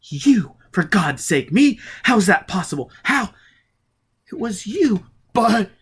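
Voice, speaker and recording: A man reading aloud, voicing a fierce, driven man who is angry and shaken, with loud, forceful outbursts. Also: medium pitch, expressive, a little fast, lightly acted. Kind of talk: audiobook character voice.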